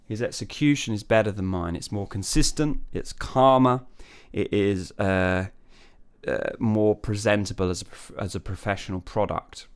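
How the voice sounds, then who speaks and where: A man teaching as a voice coach, talking through technique in a relaxed way, with many fillers and restarts. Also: lowish voice, clear, resonant tone. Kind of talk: singing lesson with sung falsetto demonstrations.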